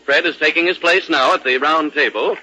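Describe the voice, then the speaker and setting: A male radio announcer from the 1940s with a very bright, forceful voice that has a rough, husky edge. His pitch sits a little high, and the old broadcast sounds lo-fi. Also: a little fast. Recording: dull, lo-fi 1940 radio broadcast.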